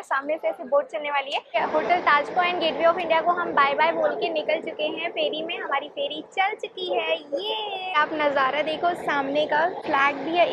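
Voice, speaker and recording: A woman's voice: sits high, background noise. A young woman filming a travel vlog outdoors on her phone, chatting upbeat as she explains each stop like a tour guide. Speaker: travel vlogger. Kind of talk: casual travel guide narration. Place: outdoors on a ferry and a busy island path. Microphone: phone camera held at arm's length. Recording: dull lo-fi phone audio with a crowd behind.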